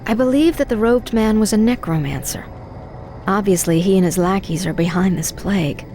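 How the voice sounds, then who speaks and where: An actress playing a poised, thoughtful young sorceress in a fantasy video game, composed and articulate. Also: female voice, medium pitch, normal speed, mellow, faint music behind, natural voice. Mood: alert.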